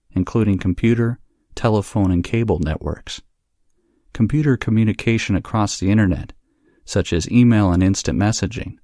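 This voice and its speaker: Lowish male voice reading textbook style passages, steady and deliberate, very dry recording. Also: dry recording. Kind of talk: scripted read-aloud of academic practice texts.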